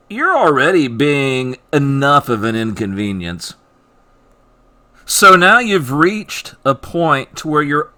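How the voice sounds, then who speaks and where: A man narrating his own writing like an audiobook, with deliberate, emphatic and dramatic phrasing. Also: a little high, sharp tone. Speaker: author reading own work.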